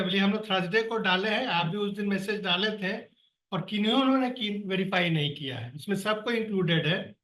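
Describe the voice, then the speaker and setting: A man's voice: sits high, sharp tone. A man leading an online staff meeting, urging and pleading with colleagues in an earnest, exasperated way, heard on a video call. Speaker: project coordinator on a staff call. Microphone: computer or phone on a video call. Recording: echoey room, distant from the mic.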